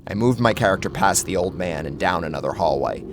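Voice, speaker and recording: A man's voice: low voice, expressive, brisk, noisy background. A man reading a scripted first-person horror story as a narrator, with a dramatic, theatrical delivery. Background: loud music.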